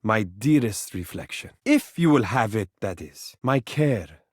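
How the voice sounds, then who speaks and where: A man's voice: lowish voice, animated, unhurried, a little mellow, dry recording. A living mirror from a video game, a male suitor both vain and tender. His melody swings widely as he speaks in florid, formal, affectionate phrases. The delivery is theatrical, and the sound is close and dry. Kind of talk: video game character voice acting.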